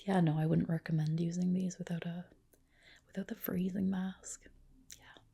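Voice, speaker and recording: A woman whispering gently and reassuringly in a breathy voice, playing a spa clinician in an ASMR roleplay. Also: lowish voice, little pitch movement, normal speed.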